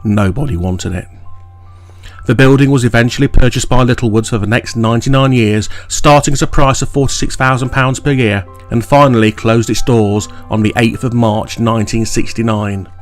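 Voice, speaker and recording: A man reading a scripted local history documentary in a measured, formal way. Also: on the low side. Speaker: documentary narrator.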